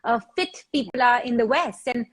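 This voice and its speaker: A woman's voice: fairly high pitch, expressive, normal speed, husky. A woman, explaining as a fitness trainer on a discussion panel, repeating words as she thinks aloud. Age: 40s.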